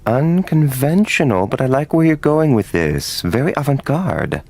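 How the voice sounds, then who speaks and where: An actor playing an eccentric, unflappable film director with a pretentious, earnest artistic way of talking in a game cutscene. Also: male voice, mid-range voice, very animated, moderate pace, creaky, a little mellow. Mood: intrigued. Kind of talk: comedic video game cutscene acting.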